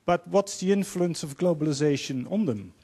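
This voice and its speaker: A man in his fifties with a Dutch accent, giving an earnest, measured opening speech as panel moderator in a large auditorium, holding a handheld mic. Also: on the high side, animated, dark tone, slightly rough. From Netherlands. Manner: earnest, measured, quoting speakers.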